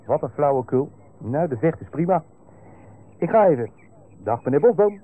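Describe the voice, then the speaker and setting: A boastful, impulsive young Dutch fellow in a radio comedy serial. His voice is rather high and very animated, on a tinny old recording. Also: moderate pace, a little hiss or hum. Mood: breezy, cocky. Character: cocky, impulsive young male sidekick, boyishly boastful.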